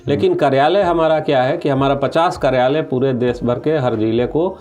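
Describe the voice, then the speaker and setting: A man's voice: medium pitch, normal speed, a little mellow, highs cut off. A man answers as an official in an interview, explaining point by point with some English terms mixed in. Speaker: government official, interview guest.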